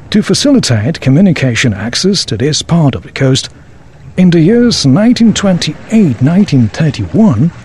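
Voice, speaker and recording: A man's voice: on the high side, darkish tone, slightly rough, heavily acted. A man playing a knowledgeable, obliging local tour guide in a scripted audio drama, speaking in a formal, presentational way.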